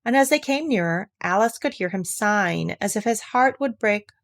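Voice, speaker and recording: Creaky-voiced woman reading a storybook. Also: medium pitch, lively intonation, moderate pace. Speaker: audiobook narrator.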